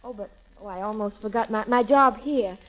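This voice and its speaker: A woman's voice: medium pitch, moderate pace, lightly acted. An actress playing a timid, earnest young beauty-shop girl in an apologetic, hesitant 1930s radio romance style. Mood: pleading, hopeful. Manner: apologetic, hesitant, breathless exclamations. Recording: muffled, crackling 1930s radio recording.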